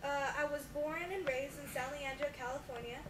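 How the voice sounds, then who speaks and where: A woman's voice: high-pitched, steady tone, fairly quick. A woman as the guest on a mock talk show interview, speaking hesitantly with many fillers. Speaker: guest scientist. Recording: distant echoey room with loud noise.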